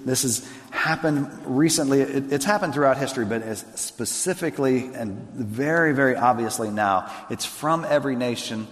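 A man preaching as a pastor, earnest and conversational, often circling back to restart a thought. His pitch sits in a middle range. The sound carries the long reverb of a large hall. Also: moderate pace, hardly any pauses. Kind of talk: sermon and onstage interview.

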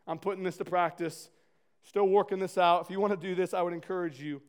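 A man in his thirties preaching a sermon as pastor, loud, passionate and earnest. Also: high voice, fairly even intonation, fast talker, clear, resonant tone.

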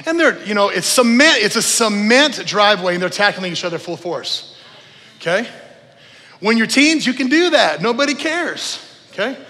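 A middle-aged male preacher with a fairly high, bright and forceful voice, heard in a reverberant church hall. He delivers an informal sermon like a storyteller, leaning on repeated phrases and turning to the congregation with quick check-in questions. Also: sing-song. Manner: conversational, emphatic, repeats phrases, checks in with listeners. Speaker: preacher, former youth pastor. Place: church service in a large room.

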